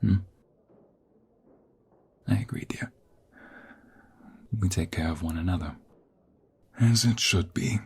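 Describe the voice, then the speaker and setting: A man playing an ageing mobster father in an intimate audio roleplay, weary, stern but softening, speaking in a measured paternal way. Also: sits low, slight background noise, full character voice. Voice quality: husky, gravelly.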